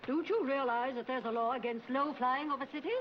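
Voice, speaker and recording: A woman's voice: sits high, a little fast, close to an everyday voice. A woman playing a haughty, fluttery, prim society matron in a 1940s comedy film. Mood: scolding. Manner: affected, prim, scolding. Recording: muffled old film, crowd and noise.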